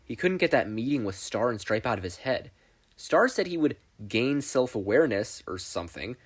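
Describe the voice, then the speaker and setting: A man narrates fan fiction with a playful, wry touch. His voice is slightly rough and husky, and his delivery is animated, moving freely around a medium pitch. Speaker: podfic reader.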